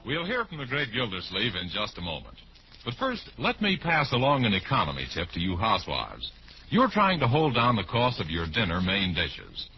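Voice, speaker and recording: A man's voice: on the low side, wildly swooping pitch, moderate pace, over music. An American man announcing and reading ads in polished, salesmanlike 1940s radio style. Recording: muffled, faintly noisy old radio recording.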